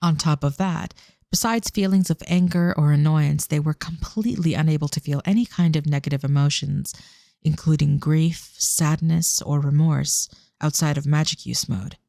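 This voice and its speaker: A man's voice: on the high side, moderate pace, gravelly, mellow, no echo, studio quality. A man reading scripted narration for an audio drama as its storyteller.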